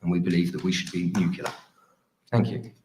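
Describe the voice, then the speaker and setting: Very deep-voiced man, halting delivery. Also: completely flat delivery, noisy background. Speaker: parliamentary candidate. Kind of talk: hustings speech.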